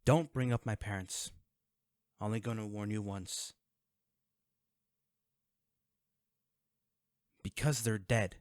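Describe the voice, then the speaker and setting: A man's voice: on the low side, moderate pace, a little mellow, dry recording, close to an everyday voice. A man playing a guarded, wealthy vigilante in an audio drama, speaking in a curt, sarcastic and threatening way to the listener. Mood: tense.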